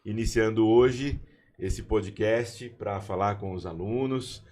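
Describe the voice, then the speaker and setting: Pastor in his forties, low voice. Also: slow. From Brazil. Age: 40s. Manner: warm, storytelling, with many restarts. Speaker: university chaplain and pastor. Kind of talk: casual podcast conversation.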